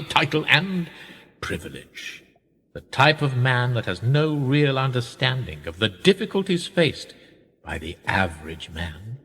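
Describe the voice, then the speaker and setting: A wealthy upper-class British businessman, played by a male actor in a radio play. His voice is husky and swings widely in pitch as he poses wry, gentlemanly questions. He is urbane and self-mocking, heard in a very reverberant space. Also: full character voice. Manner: urbane, wry, rhetorical, gentlemanly formal speech. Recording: reverberant room with long echo.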